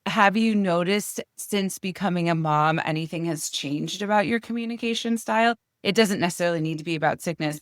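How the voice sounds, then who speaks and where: A woman hosts an interview and asks long, engaged questions. Her voice is deep for a woman, smooth and resonant, and it is recorded close and dry. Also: dry recording. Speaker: host. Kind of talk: interview conversation.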